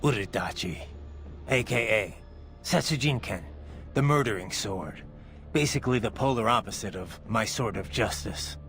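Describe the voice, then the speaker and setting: A brooding cyborg swordsman from an action game. He speaks on the low side in short, wry phrases, with music behind him. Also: animated, normal speed, a bit bright. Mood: businesslike. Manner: terse, wry.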